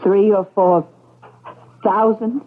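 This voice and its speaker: A woman's voice: medium pitch, steady tone, slow pace, natural voice. Woman acting pushy anxious working class wife, urgent and insistent, old radio mystery, muffled lofi. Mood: stunned. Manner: urgent, insistent, stammering when flustered. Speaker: radio drama actor.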